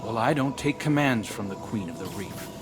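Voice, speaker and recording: A man's voice: medium pitch, expressive, moderate pace, music in the background, natural voice. An actor playing a resentful, defiant male guardian in a video game, bitter and pointed in his delivery. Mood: frustrated. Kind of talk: video game dialogue acting.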